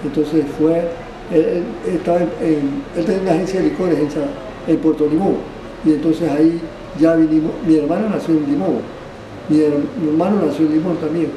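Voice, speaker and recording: An older man tells his story in halting bits, restarting phrases and tacking on little tag questions. His voice sits fairly high. He is picked up from a distance in a roomy space with steady ambient noise behind him. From Panama. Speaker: barber being interviewed. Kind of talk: rambling oral history. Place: barbershop.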